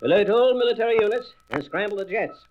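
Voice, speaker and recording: An actor playing a frantic military pilot calling out orders in a comic book audio drama. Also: male voice, sits high, animated, quick pace. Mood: alarmed. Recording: noisy, dull old record.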